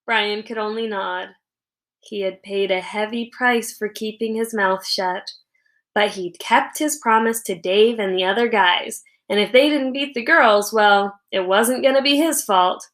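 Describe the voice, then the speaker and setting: A woman reading a children's storybook aloud as a teacher would, with expressive narration. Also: mid-range voice, unhurried. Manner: animated, acts out characters' lines.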